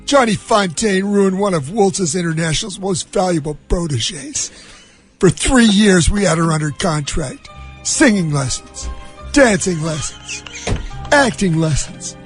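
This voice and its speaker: A man's voice: high-pitched, lively intonation, unhurried, faint music behind, voice pushed up. A man doing a comic impression of an indignant, middle-aged movie mogul, ranting emphatically and drawing out his grievances. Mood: bitter, boastful. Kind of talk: comic impression of a film scene.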